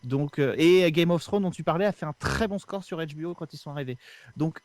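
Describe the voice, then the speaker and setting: Male podcast regular, lighter higher register, dry recording, explains hesitantly and informally. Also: fairly quick, a little mellow, dry recording. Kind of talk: casual discussion.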